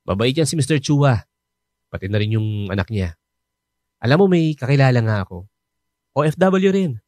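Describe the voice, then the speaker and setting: An actor playing a talkative, helpful fellow overseas worker who jokes and reassures, in a radio drama. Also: male voice, medium pitch, lively intonation, normal speed, a little mellow, no echo, lightly acted. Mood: friendly. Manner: chatty, joking, reassuring. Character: chatty, helpful coworker who knows everyone.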